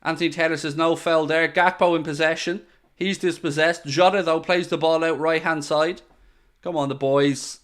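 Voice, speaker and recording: A man hosting a live football watchalong stream, calling each pass and joking with the chat. His voice is smooth and clear and sits a little high for a man. He talks excitably and speaks straight to his viewers. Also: normal speed. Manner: excitable, jokey, addresses viewers directly. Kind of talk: live match commentary.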